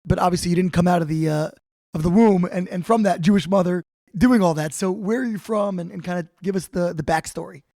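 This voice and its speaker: A man's voice: high voice, fairly quick, vocal fry, warm tone, no echo, clean studio sound. A male podcast host interviewing a guest, friendly and curious, reacting with "wow" and "incredible". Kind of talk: interview hosting.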